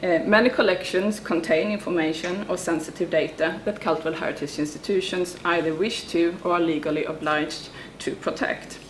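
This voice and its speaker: A woman, a researcher presenting at an academic conference, reading her prepared paper in English with some halting starts. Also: lowish voice, steady tone, a little hiss or hum. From Sweden.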